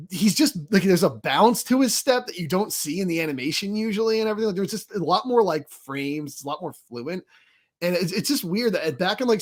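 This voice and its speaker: A man co-hosting a podcast, talking in an informal, rambling, enthusiastic way as a fan. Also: fairly high pitch. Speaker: podcast host. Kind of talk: casual fan discussion.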